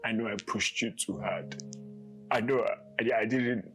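A man's voice: lowish voice, moderate pace, fairly bright tone, faint music behind, lightly acted. A man voicing a remorseful husband who begs forgiveness, stammering and tearful. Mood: pleading.

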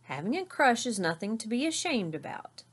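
A woman voicing a kindly, reassuring father bear from a children's picture book in an expressive way. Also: on the high side, moderate pace, slightly rough, voice pushed up.

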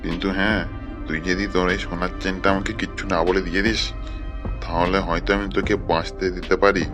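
A man playing a bullying fake ghost in a comic cartoon, making taunting, drawn-out threats. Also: low-pitched, level tone, lightly acted. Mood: menacing. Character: fake ghost, bullying masked thug demanding gold. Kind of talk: amateur comic cartoon dub. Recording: music behind the voice. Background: background music.

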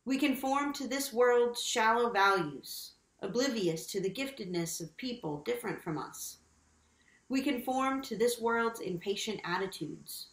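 A young woman reads a congregational prayer in a slightly high, measured voice, earnest and steady. The sound comes off a webcam in a small room, with a noticeably echoey ring. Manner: measured, earnest liturgical reading. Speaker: worship leader. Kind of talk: leading a church prayer. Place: small room at home, indoors.

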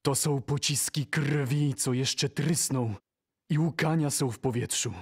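A man reciting poetry for an audio drama in a solemn, measured manner. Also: mid-range voice, breathy edge.

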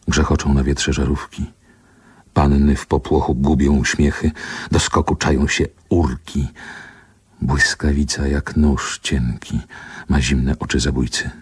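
An actor playing a brooding grown man who lyrically recalls a rough city childhood, with measured, deliberate phrasing in a poetic radio drama. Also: extremely low pitch, no room sound, full character voice. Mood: ominous, lyrical. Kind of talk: poetic radio play monologue. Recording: music under the voice.

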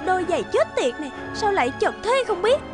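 A woman's voice: very high-pitched, very animated, fast talker. A woman voicing a vain, scheming young maidservant who poses as a princess in a cartoon fairy tale, haughty and falsely sweet. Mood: spiteful. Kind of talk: animated fairy-tale character acting. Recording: music under the voice.

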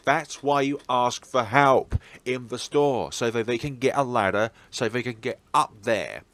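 A man's voice: mid-range voice, measured pace, a bit bright, no room sound, close to an everyday voice. A man voicing a dry-witted, exasperated teenage schoolboy in a visual novel, scolding and easily flustered.

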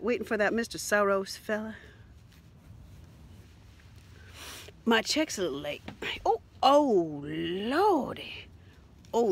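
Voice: mid-range voice, husky. A performer voicing a rambling, theatrical, crude mock Southern grifter in an improvised satirical monologue, with drawling, melodramatic repetition. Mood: rambling, confiding, then fretful, mock shocked. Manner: drawling, melodramatic, repetitive, lurching from whispers to shouts.

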